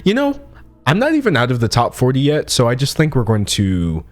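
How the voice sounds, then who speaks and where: A man in his early twenties giving casual comedic commentary as an online video host, self-mocking, with dramatic pauses and emphasis. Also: mid-range voice, wildly swooping pitch, soft background music.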